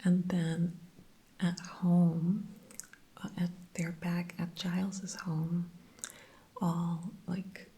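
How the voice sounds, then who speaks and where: A woman whispering softly throughout, recapping TV episodes in a chatty ASMR style. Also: lowish voice, flat delivery, very slow, long pauses, rough recording. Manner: soft unvoiced whisper throughout, chatty with fillers. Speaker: TV episode reviewer.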